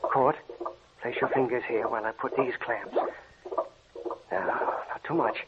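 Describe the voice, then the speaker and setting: A man's voice: mid-range voice, a little slow, natural voice. A male actor plays a commanding scientist at work in a vintage radio horror play. He gives clipped, urgent orders with methodical focus. The sound is dull and buried in heavy noise. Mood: intent, urgent. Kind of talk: 1940s radio drama acting.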